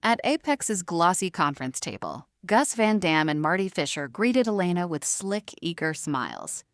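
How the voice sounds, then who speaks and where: A woman narrates a scripted drama in a slightly low voice. Her delivery is measured and theatrical, like serialized audio fiction, with no room sound around it. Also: big pitch swings, no echo, studio quality.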